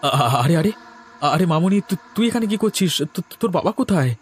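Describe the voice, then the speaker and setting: A man playing a worried, indignant village man in an animated horror story, exclaiming anxiously. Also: high voice, fairly quick, faint music behind. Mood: startled.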